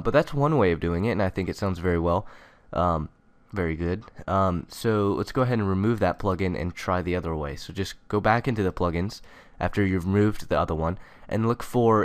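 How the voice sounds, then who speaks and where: Casting: young male tutorial host, low smooth voice, informal delivery, dry close recording. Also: dry recording. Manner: informal, conversational. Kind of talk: casual software tutorial narration.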